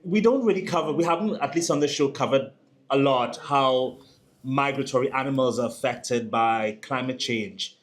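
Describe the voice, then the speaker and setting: A man's voice: medium pitch, normal speed. A man hosting an interview, asking long conversational questions and searching for words with fillers. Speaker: host.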